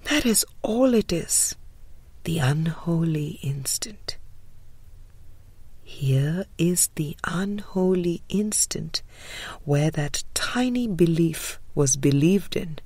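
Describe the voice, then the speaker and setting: Female audiobook narrator for spiritual material: husky tone, very unhurried, measured delivery. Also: lowish voice, lively intonation, darkish tone, dry recording. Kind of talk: scripted spiritual book reading.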